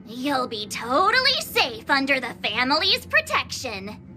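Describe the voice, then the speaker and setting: A woman voicing an excitable, fun-loving young adventurer who teases and protests in a game cutscene over music. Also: high-pitched, measured pace, full character voice. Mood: protesting. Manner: excitable, whiny, teasing.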